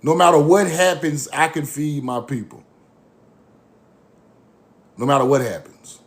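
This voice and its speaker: A man's voice: a little high, measured pace. A man giving a fiery informal lecture, blunt, repetitive and profane.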